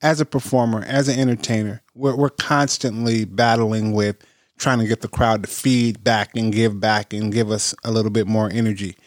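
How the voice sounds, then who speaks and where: A man co-hosting a casual podcast, telling stories with enthusiasm and quick restarts. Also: on the low side, little pitch movement, no room sound, studio quality. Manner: enthusiastic, storytelling, quick repeats. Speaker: podcast co-host, band bassist.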